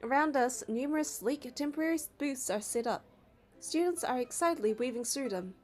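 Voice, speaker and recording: A woman's voice: sits high, fairly quick. A woman reading scripted story narration and dialogue aloud for a game playthrough. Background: background music.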